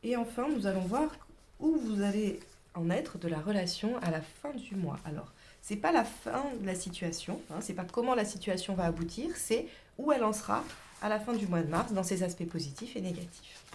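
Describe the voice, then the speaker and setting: A woman's voice: medium pitch, expressive, normal speed, a little mellow, slight background noise. A woman with a cold giving a casual tarot reading, chatty and hesitant, sometimes dropping to a whisper. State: has a cold. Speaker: tarot reader.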